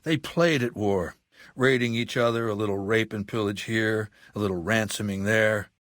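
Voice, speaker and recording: Actor as imperious, cultured warlord, dryly recorded. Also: male voice, fairly low pitch, a bit bright, no echo, clean studio sound, close to an everyday voice. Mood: cold, instructive. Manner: imperious, erudite, measured, coldly matter-of-fact. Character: ruthless, cultured warlord dictator in middle age. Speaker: video game villain. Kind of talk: dramatic game dialogue monologue.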